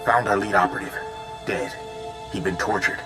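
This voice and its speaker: An actor playing a grim, determined field agent leaving a terse, clipped report in a video game. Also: male voice, lowish voice, moderate pace, over music, natural voice. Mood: grim, resolute.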